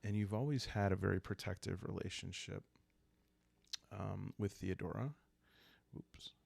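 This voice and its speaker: Guy doing calm asmr solo game narration, deep voice, super dry close sound, kinda halting. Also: creaky, breathy edge, dry recording. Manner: calm, unhurried, halting, thinking aloud. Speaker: host and solo player of a journaling roleplaying game.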